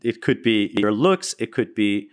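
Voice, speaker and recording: Guy with a lowish voice, podcast cohost, explains stuff casually, bit of a dry tease. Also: lively intonation, unhurried. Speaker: podcast co-host explaining language.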